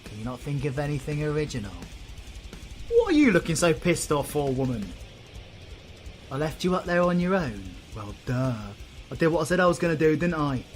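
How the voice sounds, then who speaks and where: A man's voice: on the high side, big pitch swings, a little fast, faint music behind. A man with a British accent playing a cocky, foul-mouthed supervillain in an audio roleplay, full of flippant, mocking banter. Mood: mocking irritation.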